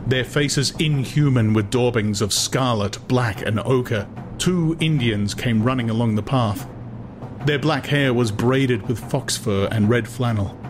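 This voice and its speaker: A man's voice: medium pitch, a little slow. A man narrating a literary audiobook in a dramatized storytelling style. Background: music.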